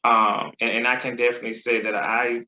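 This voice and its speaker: Guy hosting a chat, bright voice, echoey dull-sounding room, very encouraging. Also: mid-range voice, level tone, brisk. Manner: affirming, encouraging, asks questions warmly. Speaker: host. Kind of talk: casual conversation.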